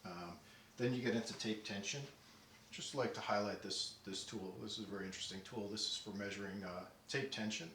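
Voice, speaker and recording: Male technician, lowish voice, methodical and halting demo delivery, recorded away from the mic in a roomy space. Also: a bit bright. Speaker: audio deck restorer demonstrating work. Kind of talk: unscripted technical walkthrough. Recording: roomy, distant mic, faint noise.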